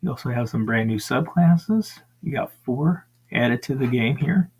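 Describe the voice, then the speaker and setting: A man with a raspy voice casually talking through crowdfunding pages for tabletop games, with lots of ums and uhs. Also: mid-range voice, a little slow, rough recording. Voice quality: frequent ums and uhs, relaxed rambling. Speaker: tabletop gaming channel host. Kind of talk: casual screen-share review.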